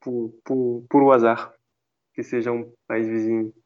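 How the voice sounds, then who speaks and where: A man with a French accent, talking in a language he learned as an adult. He is mid-pitched and halting, full of fillers and restarts. His voice sounds dull and lo-fi, as if recorded over a call. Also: flat delivery, darkish tone. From France. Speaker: guest. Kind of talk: casual interview chat.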